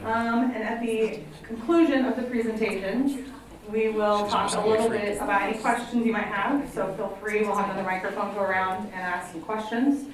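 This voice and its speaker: A woman's voice: mid-range voice, steady tone, moderate pace, far from the mic, a crowd in the background. A woman who directs a college counseling program, welcoming an audience and introducing speakers in a friendly, slightly apologetic way. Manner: friendly, apologetic, reads prepared bios. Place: college event room. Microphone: handheld microphone. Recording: very echoey room, voice from afar.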